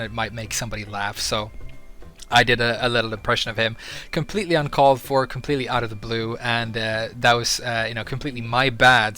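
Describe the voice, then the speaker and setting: Earnest man with a flat, bright voice. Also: medium pitch. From Sweden. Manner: earnest and candid, hesitant with fillers. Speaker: YouTuber. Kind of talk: casual talk to camera.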